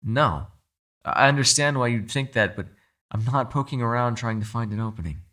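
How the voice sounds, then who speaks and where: A man voicing a proud, gentlemanly captive yokai in a dramatic ASMR roleplay, articulate and courteous yet demanding, speaking directly to the listener. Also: mid-range voice, moderate pace, natural voice. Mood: earnest, softer. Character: captive male yokai, gentlemanly, proud, protective telepathic prisoner. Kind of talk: ASMR audio roleplay, dramatic character acting.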